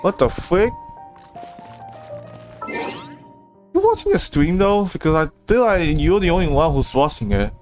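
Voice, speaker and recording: A game livestreamer with a highly animated pitch that jumps around. The talk is casual and rambling, reacting out loud to what happens on screen, with music playing faintly behind. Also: a little slow, long pauses. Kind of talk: casual live gameplay commentary.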